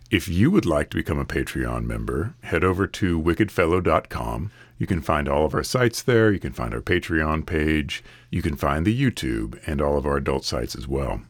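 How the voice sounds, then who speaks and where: Man, podcast host, extremely low voice, raspy after being sick, earnest and steady. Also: dry recording. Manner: earnest, steady, advice-giving. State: raspy from recent illness. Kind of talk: casual solo podcast monologue.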